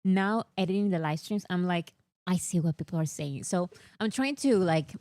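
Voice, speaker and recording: A young woman hosting a casual chat with a strong non-native English accent, talking in an excitable, slightly whiny way. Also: on the low side, dry recording. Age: young adult. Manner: excitable, whiny, talks fast about fandom news. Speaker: host.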